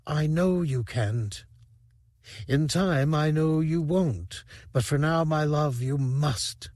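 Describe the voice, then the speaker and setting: Male narrator voicing a gentle, fading female serpent alien; soft, lifted, unhurried. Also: fairly high pitch, dry recording, studio quality, voice pushed up. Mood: calm tender. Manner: soft, slow, hushed and soothing. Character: dying female serpent alien warrior, calm and tender.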